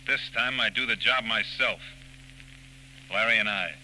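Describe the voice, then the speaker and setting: A tough, hot-tempered gang boss in a 1940s radio crime play. His voice is fairly low and very hard-edged, blunt and menacing. A slight hum runs under the old recording. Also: unhurried, bright, forceful tone, low-quality recording.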